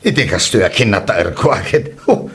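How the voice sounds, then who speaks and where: A young man with a low, husky voice plays a teasing son in a comedy audio drama. His pitch swings widely as he jokes and laughs, and faint music runs beneath him. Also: brisk. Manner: lively and teasing, laughs often. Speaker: young son turned tricycle driver. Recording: music bed behind the voice.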